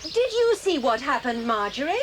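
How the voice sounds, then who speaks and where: A brisk, sensible English schoolmistress in a children's audio drama. Her intonation is very varied, and the old recording has clear hiss. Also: high voice, sing-song. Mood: brisk, sympathetic.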